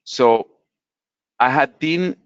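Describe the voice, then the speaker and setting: A man's voice: mid-range voice, extremely slow, clear, resonant tone, no room sound, muffled recording. Latin American man giving career advice. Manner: earnest, explanatory, frequent restarts. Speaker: webinar speaker.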